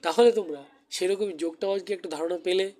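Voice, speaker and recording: Higher-voiced man teaching young children. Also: fairly quick, a little mellow. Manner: question-and-answer teaching, repeating key words for pupils. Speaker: maths teacher giving a demo class. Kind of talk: primary school lesson addressed to children.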